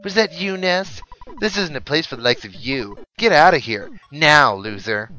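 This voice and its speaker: A man voicing an obnoxious, snobby rich boy from a video game in a pompous, taunting, drawn-out manner. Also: fairly high pitch, lively intonation, bright, forceful tone, poor audio. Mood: smug, taunting. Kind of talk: video game character read-aloud.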